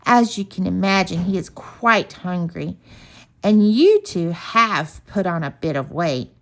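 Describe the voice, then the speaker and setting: A woman voicing a cheeky, confident young male animal cub in a storybook, excitable and mischievous. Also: lowish voice, unhurried.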